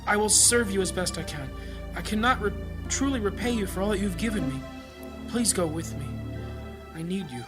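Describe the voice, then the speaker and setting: Guy acting as anxious small town priest praying, highish voice, pleading n earnest, audio drama w music under it. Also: moderate pace, close to an everyday voice. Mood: humble, imploring. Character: troubled small-town priest, devout husband and father. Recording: music behind the voice.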